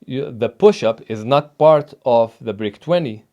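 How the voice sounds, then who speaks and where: A man's voice: mid-range voice, animated, a little slow, muffled recording. A man originally from Tunisia, a fitness trainer talking casually in an interview, emphatic and hesitant with many fillers.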